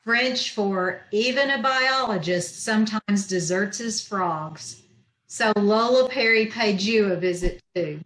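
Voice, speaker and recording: A woman's voice: mid-range voice, slow pace, a bit bright, roomy sound, low-quality recording. A woman playing a dry-witted, sarcastic schoolteacher in old-time radio comedy style, recorded over a video call in a room. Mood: wry. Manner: wry, sarcastic comebacks. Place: echoey room, remote video-call performance.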